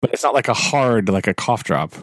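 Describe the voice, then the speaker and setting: A man co-hosting a podcast in casual chat, rambling with many fillers, laughing often and clearing his throat now and then. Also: lowish voice, animated, a little fast, a bit bright, no room sound. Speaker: podcast co-host, teacher.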